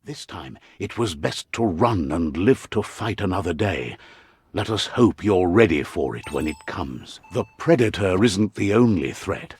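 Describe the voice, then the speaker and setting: Deep, slow, solemn storybook narrator. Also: male voice, expressive, a little mellow, breathy edge, dry recording, exaggerated voice. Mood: grave, warning. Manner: measured, theatrical, portentous. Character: storybook male narrator of a dying animal world, wry, solemn. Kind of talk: scripted dramatic storybook narration for a video game.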